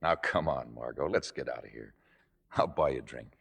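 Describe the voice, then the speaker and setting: A devoted, exasperated lover in a classic film drama. His lowish voice swings widely, wry and emphatic. The sound has a long hall reverb. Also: big pitch swings, a little fast, fairly bright tone. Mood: weary, pleading. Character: exasperated, devoted male lover of a temperamental actress.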